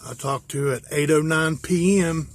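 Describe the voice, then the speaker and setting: An American man from West Virginia talking casually and informally as he shows things, pausing to think aloud. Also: on the high side, slight background noise. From West Virginia, USA. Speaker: hobbyist vlogger. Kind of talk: casual show-and-tell.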